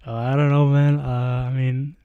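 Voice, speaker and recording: A man's voice: mid-range voice, level tone, normal speed, clear, resonant tone, dry recording, narrow, tinny audio. A young man with a Bulgarian accent, chatting on a casual live stream, rambling and hesitant, with lots of fillers, into a handheld mic at home. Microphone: handheld microphone close to mouth.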